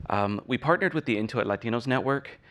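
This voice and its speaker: A man's voice: medium pitch, expressive, brisk, fairly bright tone. A man moderating a panel discussion, hesitant and filling with many ums as he reads prepared remarks.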